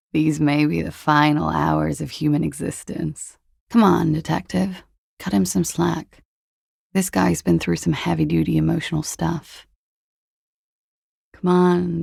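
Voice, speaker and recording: Young party-girl femme, video game dialogue, husky, very gravelly fry, dry understatement, cool and guarded. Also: deep voice, dark tone, no echo, heavily acted. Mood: wry, soothing. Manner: languid, dry, sardonic understatement. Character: cool, evasive young former beauty queen and party girl. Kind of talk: video game dialogue, low-key and naturalistic.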